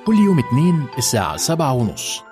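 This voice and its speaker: A man's voice: medium pitch, huge pitch swings, quick pace. A man delivering a radio station promo voice-over as an announcer. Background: prominent music.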